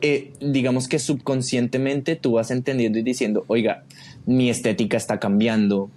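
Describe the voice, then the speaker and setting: A man's voice: medium pitch, level tone, normal speed, darkish tone. A man with a Colombian accent talking casually and reflectively as a guest in an interview. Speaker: podcast guest, creative director.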